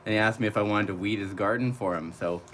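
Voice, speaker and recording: A man's voice: on the low side, fast talker, fairly bright tone, a little hiss or hum. A man speaking as a landscaper guest in a radio interview, telling anecdotes casually.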